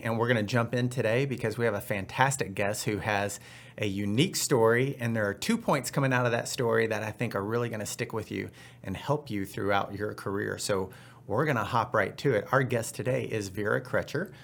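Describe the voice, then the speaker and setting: Male host, mid-pitched, enthusiastic and rambling; casual conversational read. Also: a bit bright. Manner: upbeat, chatty, rambling asides. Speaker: podcast host. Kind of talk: casual podcast chat.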